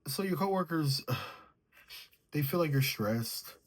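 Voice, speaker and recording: A man with a mellow, sleepy way of talking, reading tarot cards and thinking aloud as he draws them. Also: on the high side, animated, takes it slowly. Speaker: tarot reader. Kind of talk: solo tarot reading, casual chat.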